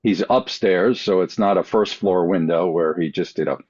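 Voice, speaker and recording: A man in casual chat as a podcast panelist, rambling through halting questions and guesses. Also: deep voice, darkish tone. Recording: dull lo-fi audio.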